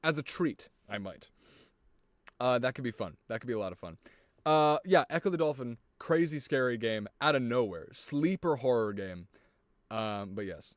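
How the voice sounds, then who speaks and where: A man streaming a video game, chatting casually with viewers in an excitable, rambling way and swearing often. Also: medium pitch, moderate pace, no room sound. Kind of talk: casual gameplay commentary. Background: music.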